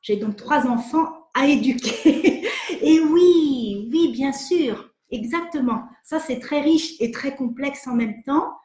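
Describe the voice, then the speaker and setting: A woman psychologist from France hosting an online session, answering viewer questions in a hesitant, gentle way with many fillers and restarts. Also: high voice, takes it slowly, echoey room. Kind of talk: live webinar, reading and answering viewer questions.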